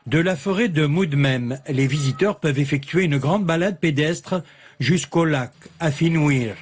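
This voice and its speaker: Higher-pitched male news reporter. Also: a little slow, slightly rough. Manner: steady, formal narration. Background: faint music.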